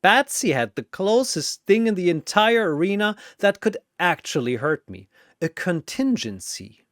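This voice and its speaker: A gleeful, menacing cartoon dream demon, acted by a man, pitched high with wild swoops. He taunts and mocks theatrically, in a close, dry sound. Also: very animated, measured pace, a bit bright, no echo, exaggerated voice. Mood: smug menace.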